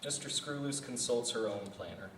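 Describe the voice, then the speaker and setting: A man's voice: mid-range voice, moderate pace. A man reading scripted narration and stage directions as the narrator of a table read. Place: indoor festival venue. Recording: distant echoey room with background noise.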